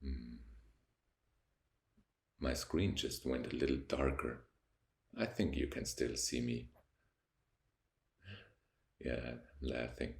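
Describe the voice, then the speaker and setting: Young Austrian man, deep gravelly voice, German accent, slow reflective to-camera chat in an echoey apartment. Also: steady tone. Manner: slow, reflective, pausing often to search for words. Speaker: vlogger. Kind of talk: unscripted talking to camera. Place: high-rise apartment by a window.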